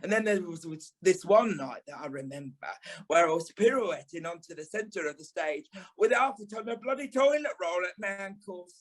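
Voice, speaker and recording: A man's voice: high-pitched, lively intonation, moderate pace. A man playing a flamboyant, blunt former ballet teacher with a British accent in an audio play, theatrical and tactless. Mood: frank, reassuring. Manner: theatrical, tactless, drawling, calls people darling and lovey.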